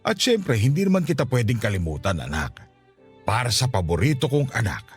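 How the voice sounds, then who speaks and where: Casting: loving, frail family father for radio drama; teasing yet sentimental, with lively swooping intonation. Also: mid-range voice, a little fast, husky, full character voice. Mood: tender, sentimental. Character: affectionate, playful, ailing father of a grown daughter. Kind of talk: Tagalog radio drama acting. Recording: music behind the voice.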